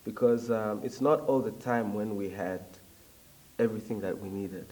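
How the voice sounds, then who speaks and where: A young man from Zambia, talking as a guest in a casual interview, reflective and hesitant with frequent pauses. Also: fairly low pitch, darkish tone, distant mic, low-quality recording. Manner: reflective, hesitant with many ums.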